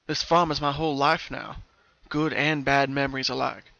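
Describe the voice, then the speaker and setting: Man acting a solemn, gentle pony father. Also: medium pitch, little pitch movement, moderate pace, gravelly, dry recording, lo-fi sound. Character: weary, gentle ghostly pony father devoted to his farm.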